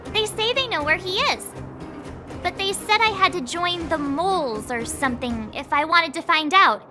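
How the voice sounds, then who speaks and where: A woman's voice: extremely high pitch, expressive, normal speed. A woman voicing a perky, exasperated young girl adventurer in a video game, chatty and rambling, with music behind. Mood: flustered, chatty. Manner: chatty, breathlessly explanatory. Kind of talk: scripted game cutscene acting.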